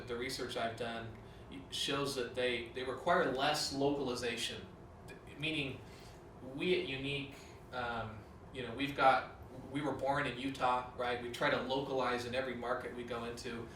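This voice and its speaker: A man's voice: mid-range voice, fairly even intonation, moderate pace, a bit bright. Guy explaining stuff casual, lots of ums, echoey room, noisy, mic far away. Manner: casual, many "um" and "you know" fillers. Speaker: company director. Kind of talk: corporate training talk. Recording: roomy, from off-mic, with clear noise.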